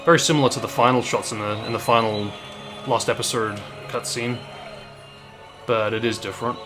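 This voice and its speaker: A man's voice: mid-range voice, steady tone, brisk, music in the background. A man hosting a gaming video, giving casual, thoughtful and explanatory reaction commentary. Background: game cutscene soundtrack.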